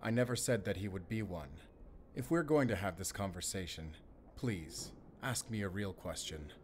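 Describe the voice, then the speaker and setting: Low-voiced, composed German wolf hunter. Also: male voice, animated, moderate pace, slight background noise. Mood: firm, guarded. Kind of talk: cinematic audio roleplay acting, speaking to the listener.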